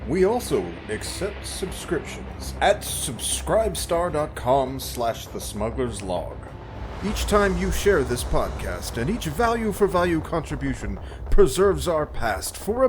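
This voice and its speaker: Male actor as sci-fi series narrator: deliberate, dramatic storytelling, lively intonation over a music bed. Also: medium pitch. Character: storytelling future-historian host of a space adventure series. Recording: music under the voice.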